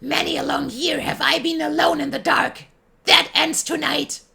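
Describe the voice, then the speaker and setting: Man voicing a bitter old crone witch, scheming, gravelly and shrill, high and piercing. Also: steady tone, moderate pace, sharp tone, full character voice. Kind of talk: storybook reading.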